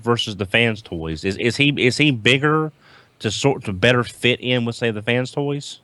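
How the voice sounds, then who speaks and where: A man's voice: mid-range voice, expressive, normal speed, sharp tone, dry recording. A man chatting casually as a podcast panelist, often doubling back on his phrases. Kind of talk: casual hobby chat.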